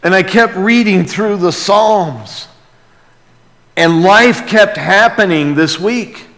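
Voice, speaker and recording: Slow-paced male preacher in a reverberant hall. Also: sits high, expressive, a bit bright. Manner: folksy, asks rhetorical check-in questions. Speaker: pastor preaching. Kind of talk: sermon, conversational. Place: large reverberant room, preaching to a congregation.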